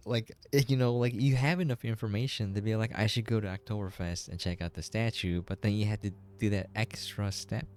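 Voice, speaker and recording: A man's voice: fairly low pitch, steady tone, fairly quick, hardly any pauses, a little mellow, a little hiss or hum. A man giving casual, rambling gameplay commentary as a game reviewer, in a conversational way.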